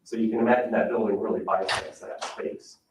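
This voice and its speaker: A man giving a measured, explanatory public presentation as a landscape architect at a meeting, with somewhat hesitant phrasing. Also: on the low side, fairly even intonation. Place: indoor public meeting room. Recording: roomy, distant mic, dull sound with noise.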